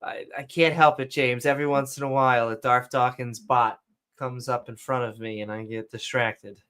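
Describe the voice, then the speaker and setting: A man co-hosting a casual late-night podcast, rambling and jumping between topics, his voice going hoarse. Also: mid-range voice, little pitch movement, moderate pace, fairly bright tone. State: losing his voice. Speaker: podcast co-host.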